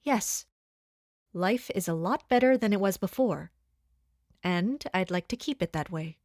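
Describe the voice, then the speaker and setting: An actress playing a serious, duty-bound young woman officer in a fantasy audio drama, speaking in a reserved, formal, measured way. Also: medium pitch, animated, a little mellow, dry recording, studio quality.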